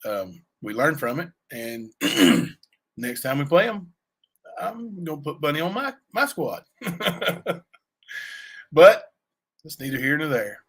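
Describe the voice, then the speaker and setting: A man with a raspy voice, chatting loosely on a livestream and answering viewers, full of fillers and asides. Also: on the high side, sing-song, slow, pauses often, fairly bright tone. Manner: rambling, many fillers and asides to chat. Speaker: livestream cohost; youth basketball coach. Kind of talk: casual livestream chat.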